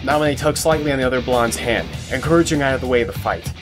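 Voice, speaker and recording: A man reads scripted story narration in a medium-pitched voice. Plucked guitar music plays clearly behind him throughout. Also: fast.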